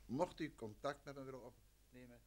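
A man's voice: medium pitch, moderate pace, a little mellow, lightly acted. An actor playing a courteous, self-assured male detective in an old Dutch radio play. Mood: matter-of-fact. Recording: vintage radio recording with noise.

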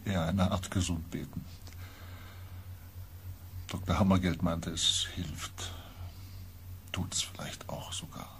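Low, whispery, frail empath. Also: male voice, steady tone, a bit bright, heavily acted. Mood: subdued, halting. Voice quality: breathy, whispery. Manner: halting, weak, terse. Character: frail, withdrawn male empath, haunted and wary. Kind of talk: German sci-fi radio drama acting.

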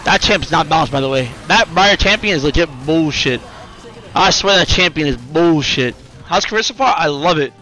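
A man in his twenties streaming a game, chatting excitedly and firing off repeated, slangy callouts to teammates. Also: a little high, normal speed, rough recording. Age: 20s. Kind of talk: excited live gameplay chat with teammate callouts. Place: indoor room at home.

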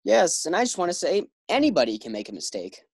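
A woman's voice: lowish voice, big pitch swings, quick pace, darkish tone, husky, dry recording, clean studio sound, exaggerated voice. A woman playing an eager, bumbling young husband in a 1940s-style radio comedy. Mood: nervous. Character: young husband George, eager bank clerk.

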